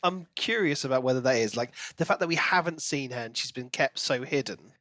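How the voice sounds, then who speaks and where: A man's voice: mid-range voice, animated, brisk, fairly bright tone, no echo. A man co-hosting a podcast, casually discussing a novel and musing aloud in questions. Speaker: book podcast co-host.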